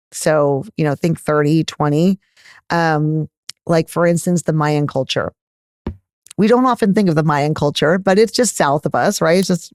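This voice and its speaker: A middle-aged woman with a Texas accent, a gynecologist answering interview questions in an animated, candid, emphatic way. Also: low-pitched, dry recording, clean studio sound. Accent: Texan. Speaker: gynecologist guest.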